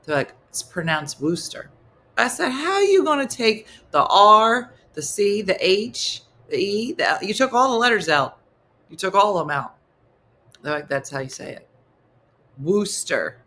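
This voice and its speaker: Bright, animated woman hosting a comedy show. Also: mid-range voice. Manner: animated, exclaiming, quick asides. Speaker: morning show host. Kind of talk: casual comedic chat.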